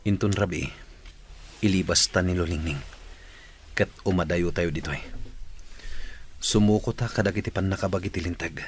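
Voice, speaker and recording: A man acting a repentant bandit in an Ilocano radio drama, speaking in an earnest, low-key, urgent way with an Ilocano accent. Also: moderate pace, long pauses, a little hiss or hum, lightly acted. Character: repentant male bandit secretly planning an escape.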